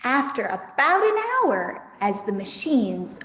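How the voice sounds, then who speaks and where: A woman reading a children's story aloud in an animated, dramatic storytelling way. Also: medium pitch, slow pace. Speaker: storybook reader for a camp audience. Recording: echoey room with long reverb.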